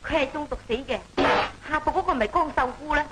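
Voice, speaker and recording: A woman's voice: high-pitched, fast, natural voice. An actress with a Cantonese accent playing an insistent female accuser in an old film drama. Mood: insistent, accusing. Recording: old noisy film soundtrack.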